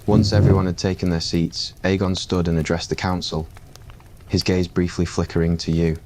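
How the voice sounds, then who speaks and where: A man with a very deep voice reading a scripted ASMR story. His delivery is calm and even, with a fairly flat intonation. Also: moderate pace, slight background noise. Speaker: narrator.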